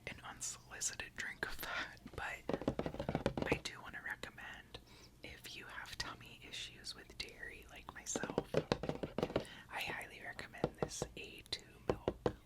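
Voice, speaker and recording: A woman whispering casually into a close microphone for an ASMR video, chatty and giggly. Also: measured pace. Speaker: ASMR creator.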